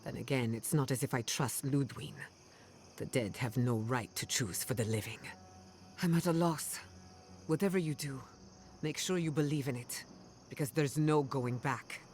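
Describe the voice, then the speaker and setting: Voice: mid-range voice, normal speed, a little mellow, a little hiss or hum, lightly acted. An actor playing a wry, conflicted adventuring companion in a fantasy game, speaking frankly. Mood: torn, worried. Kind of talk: fantasy game cutscene acting.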